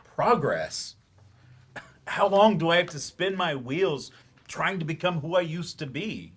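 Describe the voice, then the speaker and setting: Slow, defensive, frustrated man played by an actor. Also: fairly high pitch, lively intonation, husky. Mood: defensive, wistful. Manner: hesitant, grudging, defensive. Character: defensive, frustrated man in therapy, once-promising writer turned teacher. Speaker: actor in a staged reading. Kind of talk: staged play reading, naturalistic dialogue. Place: staged reading at a library.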